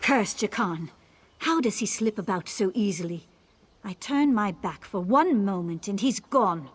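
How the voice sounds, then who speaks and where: A woman's voice: mid-range voice, animated, measured pace, breathy edge. A woman playing a bitter, jealous woman in a fantasy video game, grumbling resentfully with muttered threats. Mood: jealous irritation. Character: bitter, jealous woman fuming over straying man.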